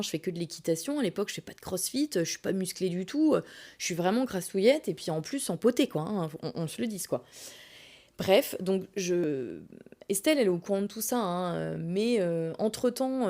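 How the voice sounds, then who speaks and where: A woman hosting a podcast, telling a personal story in a casual, colloquial, animated and self-deprecating way. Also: lowish voice. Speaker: podcast host.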